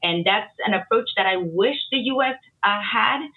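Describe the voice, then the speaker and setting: A woman immigration attorney, a podcast guest, explaining immigration systems in a measured, opinionated way. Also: mid-range voice, expressive. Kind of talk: casual podcast interview. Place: Miami. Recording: tinny phone-like line.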